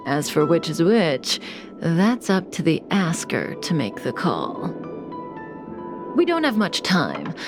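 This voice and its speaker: A woman's voice: fairly low pitch, heavily acted. A woman voicing a cool, mysterious, teasing manipulator in a video game cutscene, composed and in no hurry. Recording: music bed behind the voice.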